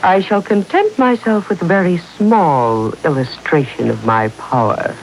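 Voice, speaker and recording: An actress playing a mysterious, commanding woman with formal, deliberate speech in a 1940s radio serial. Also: fairly low pitch, expressive, low-quality recording. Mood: mocking, menacing. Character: mysterious, commanding woman who claims controlling powers. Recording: hissy 1940s radio broadcast.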